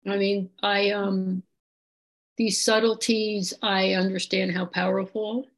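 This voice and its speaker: A woman's voice: medium pitch, flat delivery, slow, creaky. A woman taking part in a workshop discussion, speaking hesitantly and searching for her words. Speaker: workshop participant. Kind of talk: sharing in a group discussion.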